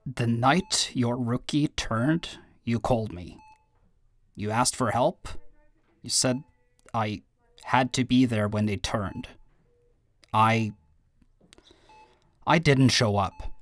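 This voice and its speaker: A man playing a guilty, devoted brother and werewolf clan second in an audio roleplay drama, earnest and halting as he speaks. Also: medium pitch, dry recording, close to an everyday voice. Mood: reluctant confession.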